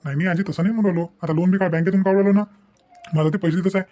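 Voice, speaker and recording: A man's voice: high voice, fairly even intonation, rapid-fire, warm tone, lightly acted. A man voicing an insistent, money-minded adult son in a family cartoon, arguing persuasively and repeating his points. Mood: persuading. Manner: persuading, repetitive, argumentative. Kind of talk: Marathi family cartoon dialogue.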